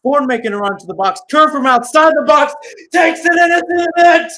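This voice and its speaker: A man's voice: very high-pitched, normal speed, pushed and bright. A man giving live play-by-play commentary on a soccer match, conversational between calls with asides and statistics.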